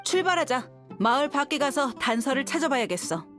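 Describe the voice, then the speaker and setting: A woman's voice: high voice, sing-song, fairly quick, a bit bright. An actress playing a confident, decisive mercenary woman in a video game, assured and blunt. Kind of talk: game character dialogue. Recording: music behind the voice.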